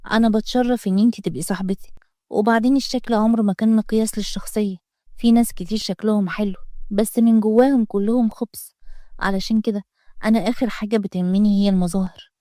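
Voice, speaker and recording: A woman's voice: medium pitch, fairly even intonation, fast, dark tone, dry recording, studio quality, lightly acted. A woman voicing a proud young student and nanny with an Egyptian accent, defensive and plainspoken in a storybook reading. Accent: Egyptian Arabic.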